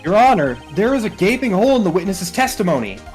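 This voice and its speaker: A woman's voice: mid-range voice, big pitch swings, fast, darkish tone, faint music behind, natural voice. A woman voicing a determined young male rookie defense lawyer in a video game, speaking assertively and declaiming. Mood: accusing.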